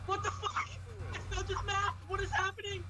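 Very high-voiced teen boy on game chat. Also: completely flat delivery, a little fast, very noisy. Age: teens. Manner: jokey, gets shrill when confused. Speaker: co-player. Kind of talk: casual gaming chat.

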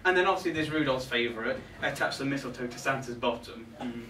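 A man's voice: mid-range voice, fast talker, sharp tone, distant mic, lightly acted. A young man playing a catty, resentful reindeer in a comic monologue, indignant and gossipy, with arch asides to a live audience. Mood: chatty, arch. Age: young adult. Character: catty, resentful male reindeer grumbling about Santa's crew. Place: small pub room with a live audience. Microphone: far camera. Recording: echoey room from afar, background noise.

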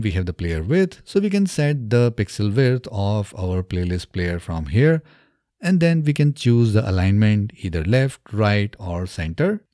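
A man in his thirties narrating a step-by-step software tutorial in a methodical, instructional way, close on a studio microphone. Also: fairly low pitch, no echo. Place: small indoor home studio. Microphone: close studio microphone on boom arm.

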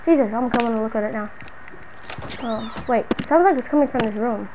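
A female gamer with a high voice improvises a role-play scene. The talk is slangy and exclamatory, played up for drama. There is light noise in the recording. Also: fairly quick. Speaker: gamer narrating a role-play short film. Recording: noisy home gaming recording.

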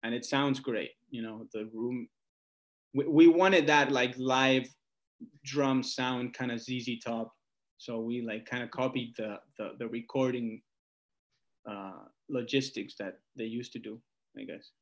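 A man's voice: medium pitch, steady tone, unhurried, highs cut off. Casual male musician from Argentina. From Argentina, Buenos Aires. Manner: informal, talks in stories, often says "like" and "you know". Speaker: guest musician, singer-songwriter. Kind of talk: casual interview chat.

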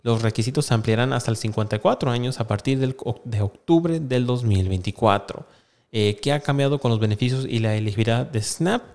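A man in his thirties hosting an online channel, reading aloud and explaining in a casual, halting way, close to a desk microphone. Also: medium pitch, darkish tone. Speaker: YouTube host. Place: small indoor room with plain wall. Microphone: desk microphone close in front of him.

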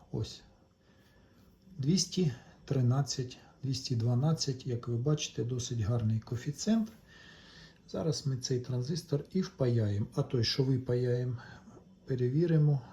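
A man with a soft, dark-toned voice talks viewers through hands-on repair work. His delivery is casual and unhurried, at an average male pitch. A faint layer of ambient noise sits behind him. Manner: unhurried, talks along while working, addresses viewers. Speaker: electronics repair video maker.

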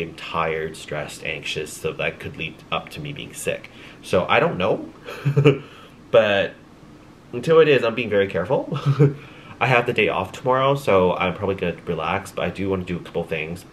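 Young guy rambling to his camera at home, low voice, bouncy up and down, stuffy and tired. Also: expressive, brisk, slight background noise. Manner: rambling, chatty, with light laughs and many ums. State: congested, tired. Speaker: vlogger. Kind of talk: casual chat to camera. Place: small home room at night.

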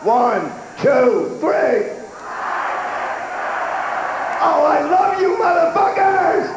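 Hoarse man hyping a roaring festival crowd. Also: very high voice, very animated, extremely slow, loud music behind the voice. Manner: rowdy, profane crowd hyping. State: hoarse, losing his voice. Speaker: festival stage co-host. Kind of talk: crowd hype banter. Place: outdoor festival stage before a huge crowd. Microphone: handheld mic. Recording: echoey outdoor PA with loud crowd.

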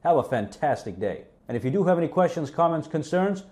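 A man's voice: a little high, sing-song, a little fast, darkish tone. A man hosting a tech tutorial, narrating a casual how-to demonstration.

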